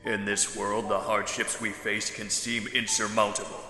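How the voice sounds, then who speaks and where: A man playing a solemn, steady military commander in a sci-fi anime parody. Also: fairly low pitch, normal speed, echoey room, in a large hall, over music, natural voice. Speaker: fleet commander.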